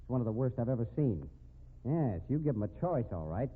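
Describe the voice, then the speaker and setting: A man's voice: medium pitch, very animated, fairly quick, breathy edge. An actor playing a confident, tough man cornering a crook in a 1950s radio drama, sardonic and hard-boiled. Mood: stern, accusing. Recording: narrow phone-like old radio recording.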